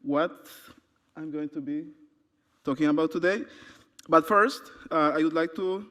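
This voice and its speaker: A man's voice: on the high side, a little slow, fairly bright tone. Man from Honduras, hesitant presenter. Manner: hesitant, frequent "uh" fillers. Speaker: conference presenter. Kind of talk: academic conference talk. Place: conference hall. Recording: long hall reverb.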